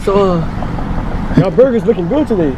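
A man's voice: high voice, animated, a little slow. A young man making casual, mock-earnest prank banter as a customer, talking from inside a car. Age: young adult. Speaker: prankster customer at a drive-thru. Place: inside a car at a drive-thru. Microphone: handheld camera in the car. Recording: noisy car and outdoor ambience.

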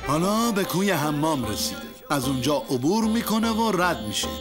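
An actor playing a proud, confident master in a comic cartoon, speaking with emphatic, suspenseful delivery. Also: male voice, a little high, big pitch swings. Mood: suspenseful bragging. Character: proud, confident master bragging about his servant. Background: music.

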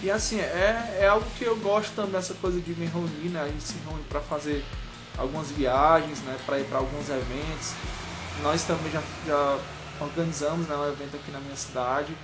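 A man, talking casually to camera as a vlogger, in a halting way full of verbal tags. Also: a little high, fairly even intonation, low-quality recording. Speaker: motorcycle vlogger. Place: small room at home, indoors. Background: music.

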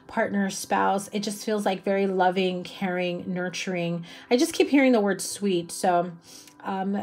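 A young woman reads tarot cards at a table in a small indoor room, her voice slightly hoarse from a cough. She talks in a chatty, reassuring way, with plenty of fillers. Her pitch sits in the middle of the usual range for a woman. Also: darkish tone. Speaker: tarot reader. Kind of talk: casual tarot reading to camera.

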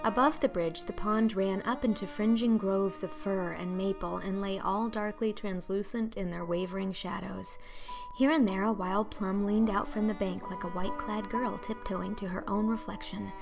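A woman reading scripted storybook narration in an expressive, literary manner. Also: mid-range voice, normal speed, gravelly, music in the background. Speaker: audiobook narrator.

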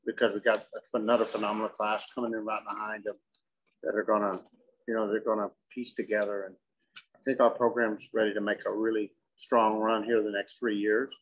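A middle-aged man, a coach answering questions at a postgame press conference in a measured, halting way, often repeating words. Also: lowish voice, level tone, poor audio. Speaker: softball coach. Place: indoor press conference room with curtain backdrop.

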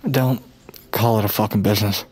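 A man's voice: lowish voice, steady tone, brisk, a little mellow, husky. An actor playing a weary, skeptical man with a curt, sardonic way of talking in an audio drama. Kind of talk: naturalistic audio drama acting.

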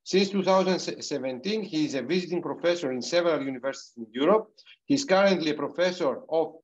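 A man's voice: a little high, fast. Middle-aged male host, accented English, hesitant delivery, dull video-call sound. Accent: non-native English. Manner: hesitant, many fillers and restarts. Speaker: host of an online university webinar. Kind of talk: spoken introduction on a video call. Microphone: computer mic on a video call.

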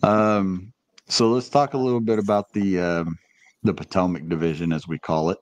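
A man's voice: deep voice, fairly even intonation, normal speed. A man hosting a fishing podcast, asking friendly questions and explaining tournament details. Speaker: podcast host. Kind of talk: casual podcast interview.

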